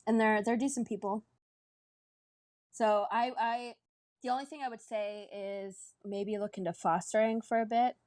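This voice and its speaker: Female co-host, late 20s, mid pitch, dry mic, warm-hearted and encouraging. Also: steady tone, moderate pace, dry recording. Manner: earnest and encouraging, frequent laughs. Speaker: podcast co-host. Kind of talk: casual live-stream chat.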